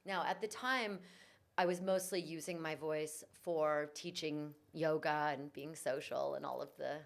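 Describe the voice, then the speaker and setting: Woman guest, yoga and voice teacher; low-set voice, earnest reflective storytelling. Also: steady tone, measured pace, creaky. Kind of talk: conversational interview. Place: in Bali.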